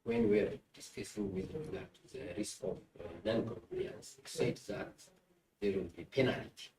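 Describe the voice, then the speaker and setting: Distant, echoey man asking halting questions. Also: on the low side, expressive, crowd noise. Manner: halting, fragmented phrasing. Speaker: seminar participant asking questions. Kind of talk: spontaneous questioning from the floor. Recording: roomy, distant from microphone.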